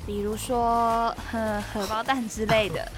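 Woman playing high-voiced, playful young Taiwanese girl. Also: flat delivery, a little slow, over music, natural voice. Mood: clueless, pleading. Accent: Taiwanese Mandarin. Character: playful, teasing, childlike young female dorm mate. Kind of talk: audio drama acting.

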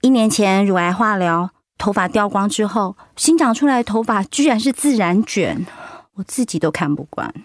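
A woman's voice: mid-range voice, expressive, normal speed, no echo, clean studio sound, natural voice. An actress playing an outgoing, candid middle-aged woman in a conversational radio drama. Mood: subdued, reflective. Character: warm, outgoing middle-aged woman, breast cancer survivor.

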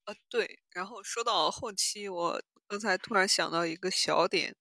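A woman's voice: mid-range voice, moderate pace, pushed and bright, dry recording. A woman hosting a podcast in casual chat, musing and building her points in short phrases. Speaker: podcast host. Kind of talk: casual chat reviewing audio dramas.

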